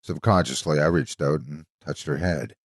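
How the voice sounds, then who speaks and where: A man narrating from a script as a storyteller, with a measured, expressive and dramatic delivery. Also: a bass voice, dry recording, studio quality. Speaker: story narrator and channel host.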